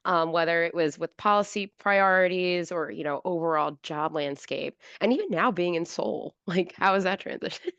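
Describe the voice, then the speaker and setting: A woman's voice: lowish voice, moderate pace, fairly bright tone, no echo. A woman moderating a virtual career panel, friendly and chatty, joking easily with the panelists. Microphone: video call. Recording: dull, dry video call audio.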